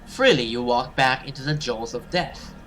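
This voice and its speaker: A man voicing a taunting, menacing female monster from a horror visual novel, slowly and with a breathy hiss. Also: medium pitch, fairly bright tone, faint music behind, natural voice. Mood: taunting menace. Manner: slow, taunting, threatening.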